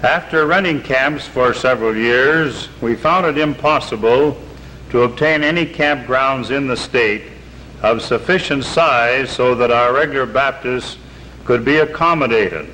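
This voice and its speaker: A man's voice: mid-range voice, bright, forceful tone, low-quality recording. Earnest man on a 1950s TV broadcast. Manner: measured, earnest, formal delivery. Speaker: camp spokesman. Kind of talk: reading a prepared statement, then documentary narration. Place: outdoors by a lake. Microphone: seated on camera, reading from papers. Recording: old 1950s TV broadcast with faint hiss.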